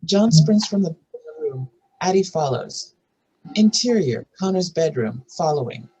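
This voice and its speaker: A husky-voiced narrator reads screenplay action lines at a table read. The delivery is scripted and descriptive, with a mid-range pitch and a rough edge. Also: very animated, a little slow, warm tone, dull audio. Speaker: narrator reading stage directions.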